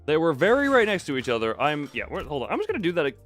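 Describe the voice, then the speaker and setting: A man with a fairly high voice commentates on his game livestream over music. He thinks aloud in a casual, rambling way, often letting sentences trail off unfinished. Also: wildly swooping pitch, rapid-fire, a bit bright.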